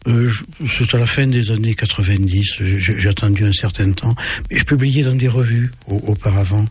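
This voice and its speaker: A man in his seventies whose first language is German, speaking in a very husky, breathy voice that sits low. He answers an interviewer thoughtfully but haltingly, stumbling and restarting often. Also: fairly even intonation, darkish tone. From southwest France. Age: 70s. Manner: halting, many restarts and fillers. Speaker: guest author. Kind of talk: radio interview.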